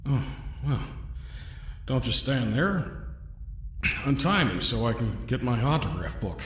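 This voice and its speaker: A man playing a trapped young adventurer in an audio drama, dry and sardonic, with halting, defiant delivery. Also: mid-range voice, big pitch swings, a bit bright, echoey room. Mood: sarcastic, defiant.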